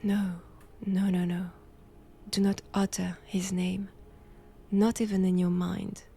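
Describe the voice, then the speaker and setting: A woman with a French accent playing a knowing, menacing nun captor in an intimate roleplay audio drama, with measured, teasing, formal old-fashioned phrasing. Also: mid-range voice, little pitch movement, dark tone, breathy edge. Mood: hushed warning.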